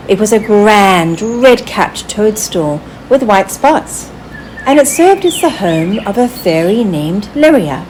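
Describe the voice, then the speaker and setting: A woman reading a children's story aloud in an expressive, deliberate storytelling manner. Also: medium pitch, noisy background. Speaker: author reading own story. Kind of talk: scripted children's storybook narration.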